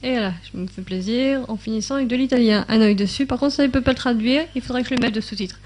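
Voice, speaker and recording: A woman's voice: fairly high pitch, fast talker, darkish tone, soft background music. A woman giving a casual tutorial while thinking aloud at her computer, with many fillers, now and then sounding out translated subtitles in other languages. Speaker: YouTube tutorial maker. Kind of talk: casual screen-recording tutorial.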